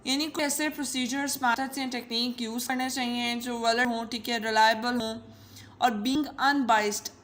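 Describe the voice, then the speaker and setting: A woman with a Pakistani accent teaches in an informal, chatty way. Her voice sits on the high side and is altered by a voice changer. She switches between languages as she explains, with a playful, conversational touch. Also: steady tone, moderate pace, a bit bright, slight background noise. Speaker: teacher and channel host.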